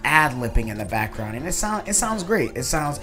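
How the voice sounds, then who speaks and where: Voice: medium pitch, big pitch swings, moderate pace. Casual game reviewer, mid-range voice of unclear gender, gushing and rambling, music playing behind. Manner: enthusiastic, emphatic, rambling. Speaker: video creator reviewing a game.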